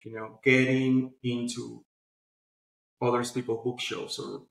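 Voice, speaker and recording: A man's voice: mid-range voice, level tone, a little slow, creaky. Man explaining in a roomy echo. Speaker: interview guest, publishing platform founder. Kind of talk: conversational interview answers.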